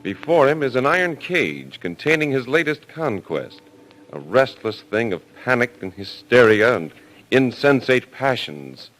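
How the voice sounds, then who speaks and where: A man's voice: mid-range voice, sing-song, a little slow, fairly bright tone, rough recording. A man announcing and narrating in an ominous, theatrical 1940s radio horror style. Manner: theatrical, portentous. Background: music.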